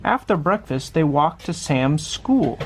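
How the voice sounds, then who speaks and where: A man's voice: fairly high pitch, lively intonation, unhurried, slight background noise. A man reading a children's picture book aloud, adding small conversational asides as he goes. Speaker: storybook reader.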